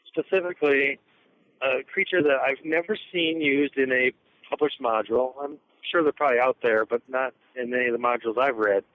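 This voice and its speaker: A man calling in to a podcast with an earnest, steady voicemail request. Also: mid-range voice. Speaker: caller. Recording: tinny phone line.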